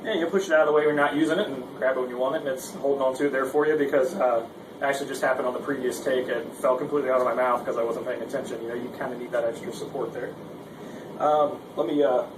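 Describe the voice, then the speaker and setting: A man's voice: mid-range voice, steady tone, fast. A man giving a casual, informal instructional demo, speaking hesitantly and with some self-deprecation. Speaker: guitarist giving a gear demonstration. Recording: roomy, distant mic with background noise.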